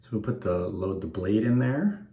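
A man's voice: lowish voice, expressive, normal speed, muffled recording. A man in his forties, slightly stuffed up, rambling casually with lots of ums while he shaves and talks to the camera at home. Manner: rambling, many ums, easygoing. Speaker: wet shaving channel host. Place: small bathroom at home, indoors. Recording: echoey bathroom.

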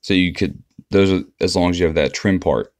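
A man's voice: sits low, a little fast, no room sound. A man giving a casual, unscripted walkthrough of an app as a tutorial presenter.

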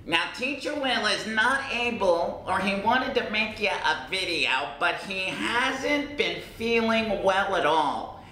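A man's voice: takes it slowly, exaggerated voice. A middle-aged man playing his own chatty, self-doubting cousin, an English learner, talking to camera in a small room. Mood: earnest. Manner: animated, gestures a lot, repeats and restarts. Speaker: English teacher in character. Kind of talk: playful character monologue to camera. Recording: roomy echo, faint rumble.

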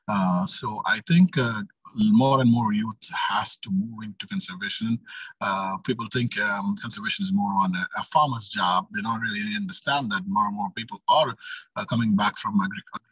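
A man in his thirties from the Middle East, speaking on an online panel in a halting way, repeating words as he searches for phrasing. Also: lowish voice, fairly bright tone, husky, dry recording, rough recording. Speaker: youth organization leader, panel speaker. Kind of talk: panel talk, semi-spontaneous explanation. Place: indoor room on a video call.

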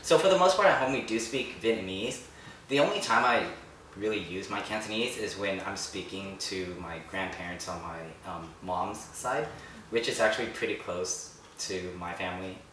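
A young man chatting on a panel, earnest and steady, with filler ums. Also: lowish voice, moderate pace. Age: young adult. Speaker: panel guest. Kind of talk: casual chat. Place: echoey living room indoors. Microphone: far camera mic. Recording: roomy echo, distant mic, faint hiss.

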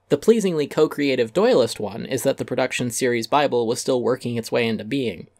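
A man reading a scripted essay aloud as narrator, articulate and emphatic. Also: mid-range voice.

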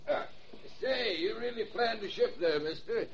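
An actor playing an easygoing Navy boat skipper in a 1940s radio commercial, with a husky voice. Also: male voice, high-pitched, measured pace, slight background noise. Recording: dull old radio recording.

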